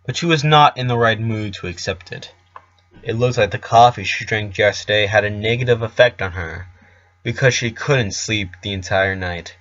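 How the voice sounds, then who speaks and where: A man's voice: lowish voice, fairly bright tone, dull audio. A man reading scripted narration as the storyteller of a fictional tale.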